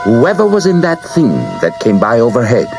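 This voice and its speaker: An earnest twelve-year-old boy, acted by a grown man, his voice lifted well up. He sounds frightened and intent in this audiobook drama, pleading over loud music. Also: medium pitch, sing-song, normal speed, voice pushed up. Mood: urgent, fearful.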